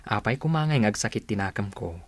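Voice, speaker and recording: An actor playing a devoted, easygoing young boyfriend in a radio comedy drama, with an Ilocano Filipino accent. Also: male voice, on the low side, lively intonation, fast talker, dry recording, lightly acted. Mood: sweet.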